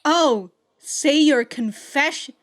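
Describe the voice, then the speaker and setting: A woman giving casual, slangy reaction commentary as the host of a video, in an animated, informal way. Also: high-pitched, slow pace.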